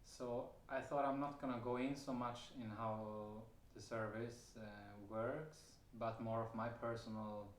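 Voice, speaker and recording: Slow, hesitant man with a Swedish accent. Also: mid-range voice, level tone, a little hiss or hum. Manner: hesitant, many fillers and restarts, occasional soft laughs. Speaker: vlogger sharing personal experience. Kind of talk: casual personal testimony to camera. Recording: roomy echo.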